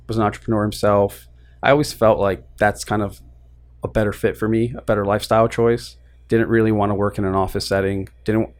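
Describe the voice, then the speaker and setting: Easygoing man from Queens, low voice. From Queens, New York. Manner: easygoing, self-deprecating, frequent restarts. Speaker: guest, lending business co-founder. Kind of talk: casual interview chat.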